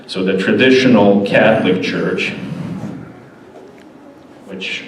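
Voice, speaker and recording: A man in his forties from Bosnia, giving a casual, halting presentation at a church with many fillers. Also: on the low side. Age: 40s. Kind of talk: informal presentation. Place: church hall. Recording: echoey hall, distant mic, faint noise.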